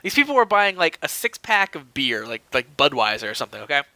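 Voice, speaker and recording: A man co-hosting a casual comedy podcast, telling stories in an animated, chuckling way. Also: a little high, quick pace, no echo. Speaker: podcast co-host. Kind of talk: casual comedic storytelling chat.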